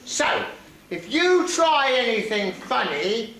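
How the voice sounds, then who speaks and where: A man's voice: very high-pitched, sing-song, takes it slowly, heavily acted. A man playing a bossy pie-baking pantomime dame on an amateur stage, talking in an exaggerated way out to the audience. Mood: brisk, comic. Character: pantomime dame, bossy pie baker, middle-aged woman. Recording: echoey stage recording, loud hiss and audience.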